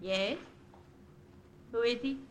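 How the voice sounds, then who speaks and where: An actress playing a cool, teasing young French chateau hostess with a French accent in an old radio drama. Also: female voice, a little high, takes it slowly, slight background noise. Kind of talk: 1950s radio drama acting.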